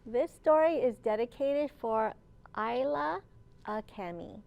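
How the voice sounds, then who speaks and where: High-voiced woman reading slowly to children. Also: expressive, creaky, a little mellow. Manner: slow, deliberate phrasing, addressing young listeners with questions. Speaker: children's librarian reading storytime. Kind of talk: read-aloud picture book storytime for young children.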